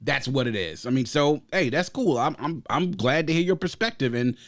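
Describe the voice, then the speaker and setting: A man hosting a casual music review podcast, talking in a measured, diplomatic way and often trailing off softly. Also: medium pitch, expressive, no echo. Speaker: podcast host.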